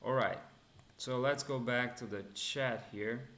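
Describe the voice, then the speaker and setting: A man's voice: medium pitch, takes it slowly, a bit bright, slight background noise. A man from Romania hosting a live coding and security stream, talking casually with many fillers and restarts while he answers chat questions. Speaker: livestream host teaching cybersecurity. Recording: echoey room.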